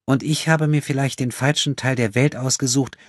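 A man voicing a prim, righteous nun in an audiobook, speaking in a sharp, defensive manner. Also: medium pitch, steady tone, normal speed, no echo, clean studio sound, voice pushed up, natural voice. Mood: indignant, defensive. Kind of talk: audiobook character acting.

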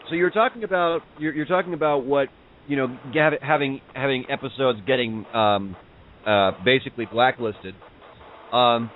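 A man's voice: medium pitch, slight background noise. Young man on a thin, phone-like line. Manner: halting, repeats words as he goes. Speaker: interviewer, podcast co-host. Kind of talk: casual podcast chat. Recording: thin phone-like call audio.

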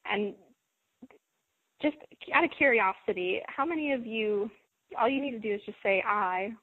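Lady coaching on a call, calm and encouraging, kinda husky, phone-line sound. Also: medium pitch, moderate pace, no echo. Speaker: host of a self-help conference call. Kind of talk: coaching talk.

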